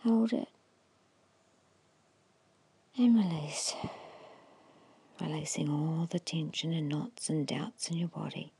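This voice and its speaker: A woman giving an ASMR guided hypnosis in a hushed, breathy whisper, slowly and soothingly instructing with long pauses. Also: deep voice. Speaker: hypnotist guiding a meditation.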